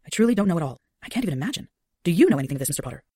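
A man's voice: fairly high pitch, big pitch swings, rapid-fire, dark tone, breathy edge, no room sound, studio quality, voice pushed up. Man voicing a shaken older female professor. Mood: troubled.

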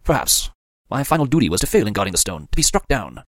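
A man's voice: medium pitch, big pitch swings, quick pace, no echo. A man voicing a stern, weary middle-aged male potions master who speaks formally and with resignation. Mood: grave. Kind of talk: audiobook character voice.

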